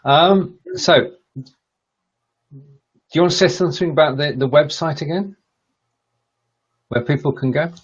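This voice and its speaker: A man's voice: fairly high pitch, slow pace, pauses often, breathy edge. A man co-hosting a casual language lesson as the learner, hesitant and stumbling over foreign words. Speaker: co-host and German learner. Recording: dull lo-fi audio.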